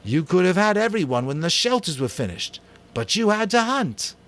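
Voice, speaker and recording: A man voicing a young boy chief who is bitter and angry, speaking in a loud, accusing voice. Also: high voice, sing-song, normal speed, pitched above the performer's own voice.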